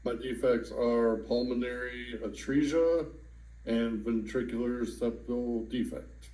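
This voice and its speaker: A man in his thirties calmly sharing his own story in a personal testimonial, with some fillers. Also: mid-range voice, reverberant, low-quality recording. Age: 30s. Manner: slow, calm, with fillers. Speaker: adult with congenital heart disease.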